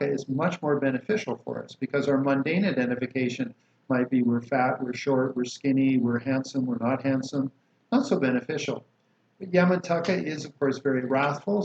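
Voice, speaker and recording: A man teaching a Buddhist practice, calmly explaining and reciting liturgy with slow chanted mantras. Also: medium pitch. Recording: roomy, dull lo-fi recording with faint hiss.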